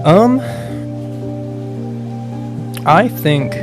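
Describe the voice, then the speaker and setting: A man's voice: a little high, animated, very slow. A young man with a Midwestern accent, talking in a casual, reflective way with lots of fillers and restarts. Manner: hesitant, many fillers and restarts, laughs often. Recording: music playing behind.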